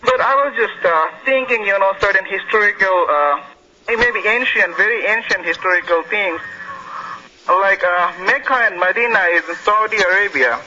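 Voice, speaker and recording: A man's voice: sits high, moderate pace, bright, forceful tone. A man with an Indian accent calling in to a discussion show, speaking hesitantly and politely in a roundabout way. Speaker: phone-in caller. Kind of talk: live phone-in discussion. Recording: muffled phone line with faint noise.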